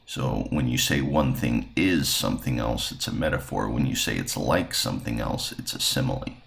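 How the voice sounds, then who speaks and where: A man's voice: rumbling low, normal speed, creaky, a bit bright. A man teaching a class, plainly explaining and telling students to follow along.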